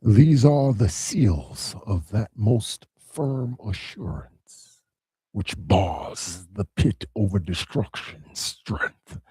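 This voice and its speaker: A man's voice: lively intonation, warm tone, no echo, full character voice. A man voicing Demogorgon, a vast primal power, in a solemn dramatic verse reading. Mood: grave. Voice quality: deep, husky, slow and solemn.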